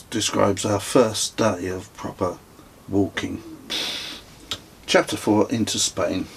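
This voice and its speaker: A middle-aged man reading his own travel memoir as scripted narration, in a measured, reflective way, with a husky voice. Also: fairly low pitch, slight background noise.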